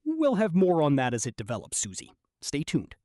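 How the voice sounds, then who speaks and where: A man's voice: fairly high pitch, huge pitch swings, moderate pace, dark tone, dry recording, clean studio sound. A man playing a small-town 1970s radio newscaster, reading bulletins in a formal announcer's manner. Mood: formal, upbeat.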